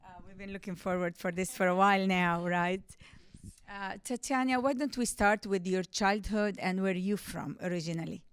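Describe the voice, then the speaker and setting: A woman's voice: mid-range voice, steady tone, normal speed, clean tone, no echo, studio quality. A woman hosting a TV interview show, upbeat, asking simple prompting questions. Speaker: talk show host.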